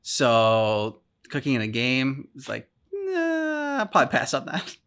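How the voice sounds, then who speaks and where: Male reaction co-host, average pitch, loose and jokey conversational delivery. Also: sing-song, a little slow, fairly bright tone, smooth. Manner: joking, informal, rambling. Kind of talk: casual reaction chat.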